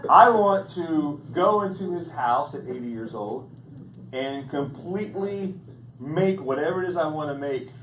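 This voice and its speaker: A man preaching with a playful, joking delivery, his voice sitting a little high for a man. He sounds set back from the microphone in a large echoing church hall, with steady noise underneath. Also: measured pace. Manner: playful, animated storytelling with jokes. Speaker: pastor. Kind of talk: humorous sermon. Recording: echoey hall, distant mic, background noise.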